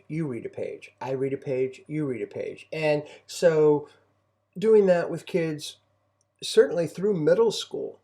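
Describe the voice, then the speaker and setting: A man's voice: mid-range voice, warm tone. A middle-aged man speaking casually as a co-host and homeschooling parent, giving advice in a reflective, encouraging way. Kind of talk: casual conversational advice talk.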